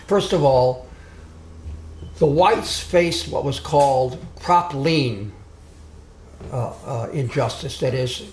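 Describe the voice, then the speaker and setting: A man lectures to a small audience in a roomy, echoing room, with faint ambient noise behind him. He speaks loudly at a middle pitch, often stopping to restart a phrase or add an aside. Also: slow pace, long pauses. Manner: loud, digressive, with many restarts and asides. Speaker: speaker giving a history talk. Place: meeting room with a live audience.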